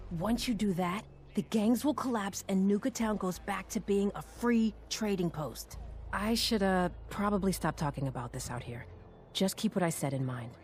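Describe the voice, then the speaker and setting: An actress playing a nervous, deferential doctor among raiders in a video game, speaking hesitantly. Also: female voice, lowish voice, a little mellow. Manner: hesitant, halting. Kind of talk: video game character dialogue.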